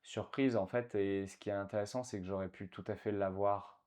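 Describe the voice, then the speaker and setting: A man's voice: fairly low pitch, fairly even intonation, brisk, darkish tone, clean tone. Hesitant man in a casual interview. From France. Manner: hesitant, many fillers and restarts. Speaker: interview guest.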